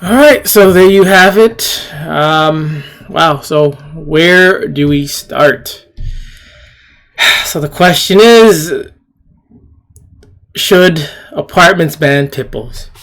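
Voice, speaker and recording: A man hosting an opinion commentary, speaking haltingly and emphatically and often repeating himself. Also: a little high, a bit bright. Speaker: channel host. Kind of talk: opinion commentary over a news clip.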